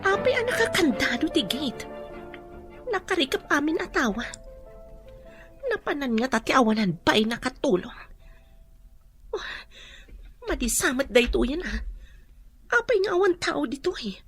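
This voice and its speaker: A suspicious woman muttering to herself in an Ilocano radio drama, played by a woman. Her high voice swoops up and down as she talks, with faint music underneath. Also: sing-song, moderate pace, long pauses, a bit bright.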